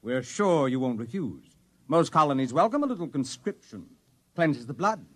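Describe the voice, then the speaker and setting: An actor playing a smooth, cynical political advisor with an unruffled, measured manner, in old-time radio drama style. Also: male voice, medium pitch, big pitch swings, a bit bright, dry recording. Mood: coolly calculating.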